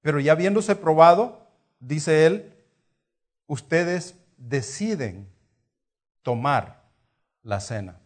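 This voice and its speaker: A man's voice: a little high, lively intonation, takes it slowly, long pauses. A middle-aged man with a Salvadoran accent preaching a conversational sermon, teaching with rhetorical questions and repeated phrases. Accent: Salvadoran Spanish.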